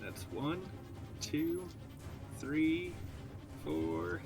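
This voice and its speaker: A man's voice: a little high, very animated, very slow, vocal fry, darkish tone, soft background music. A man playing a tabletop roleplaying game, talking casually and describing his moves.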